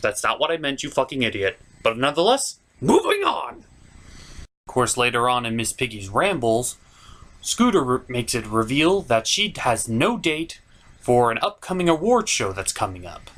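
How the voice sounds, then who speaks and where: A man's voice: medium pitch, sharp tone. A man hosting a scripted comedic review with skits, with a theatrical, exasperated delivery. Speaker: comic reviewer and host.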